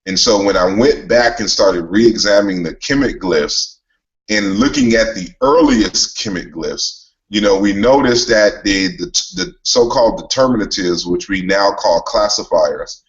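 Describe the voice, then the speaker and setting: Deep-voiced man explaining at length. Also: a little slow, highs cut off. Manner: steady explanatory, frequent fillers. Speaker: author and guest. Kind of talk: relaxed interview talk.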